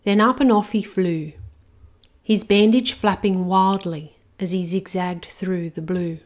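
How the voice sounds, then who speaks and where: A woman reading a rhyming children's picture book aloud, expressive and even. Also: mid-range voice. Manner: slow, expressive rhymed reading. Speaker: storyteller.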